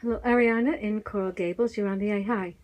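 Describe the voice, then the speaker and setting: A woman hosts a radio talk show from the studio. She is brisk and guiding, often cutting in with short remarks to keep the conversation moving. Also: medium pitch, fairly even intonation, a little mellow, slight background noise. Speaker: radio host.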